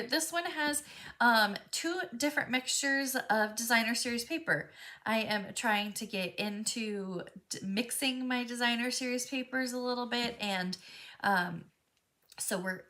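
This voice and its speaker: A woman teaching a live step-by-step crafting class, her voice stuffy and a little froggy from a cold. She talks in a chatty, friendly way, with plenty of ums as she works. Her tone is bright and pitched a touch high. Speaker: craft instructor.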